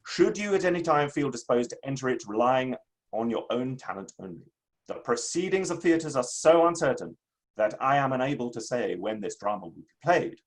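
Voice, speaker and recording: A man's voice: medium pitch, animated, moderate pace, lightly acted, low-quality recording. A man in a dramatic play reading, playing a proud, aggrieved Victorian writer with a formal, emphatic delivery. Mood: formal, self-satisfied.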